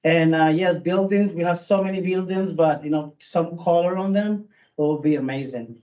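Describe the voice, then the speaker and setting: A man's voice: fairly high pitch, a little mellow, muffled recording. Man with a strong Puerto Rican accent. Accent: Puerto Rican Spanish. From Puerto Rico. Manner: earnest, halting, many restarts and fillers. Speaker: food truck owner speaking at public meeting. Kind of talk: informal public comment. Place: public meeting room.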